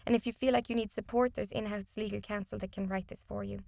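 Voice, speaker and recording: A woman's voice: mid-range voice, steady tone, fast talker, vocal fry, darkish tone, no room sound, studio quality. A woman whose first language is not English, a contracts and disputes consultant, giving explanatory, emphatic interview answers with some restarts.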